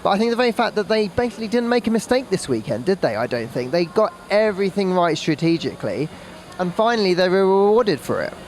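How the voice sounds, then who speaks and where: A man's voice: high voice, lively intonation, fast, slight background noise. A man giving casual sports analysis as a motorsport podcast pundit.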